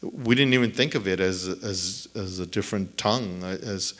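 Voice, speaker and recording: A middle-aged man, a native Spanish speaker, answering audience questions on a theater stage at a lectern mic, thoughtful and halting with many restarts. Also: low voice, fairly bright tone. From El Paso, Texas. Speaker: author at a book event. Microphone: lectern mic on a stand.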